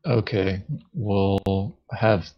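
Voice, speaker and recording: A man's voice: low voice, steady tone, extremely slow, highs cut off. A man playing a board game, chatting casually in a dry, laconic way. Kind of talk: casual gaming chat.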